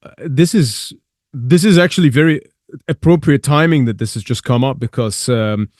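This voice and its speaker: A man from Iran hosts a casual interview in a medium-pitched voice. He speaks earnestly and with emphasis. The sound is dry, with almost no room on it. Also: normal speed, darkish tone, no echo. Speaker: podcast host.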